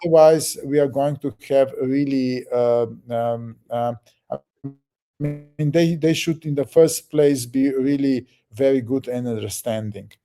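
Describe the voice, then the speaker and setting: Very dark-voiced man explaining haltingly. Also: mid-range voice, steady tone, faint music behind. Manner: halting, restarts words, many fillers. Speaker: project leader answering questions. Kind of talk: explaining in a group call.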